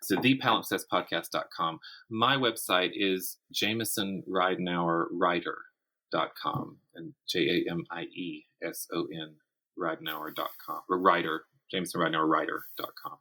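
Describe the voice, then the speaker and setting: Deep-voiced man chatting as interview guest. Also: steady tone, moderate pace, pushed and bright, rough recording. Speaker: podcast guest, writer and teacher. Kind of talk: casual conversational interview.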